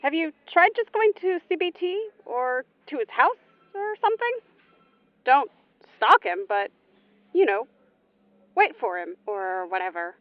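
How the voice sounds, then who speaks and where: A woman's voice: sits high, sing-song, a little slow, no echo. A woman playing a helpful, slightly awkward fan leaving a casual, hesitant voicemail over a tinny phone line in an audio drama.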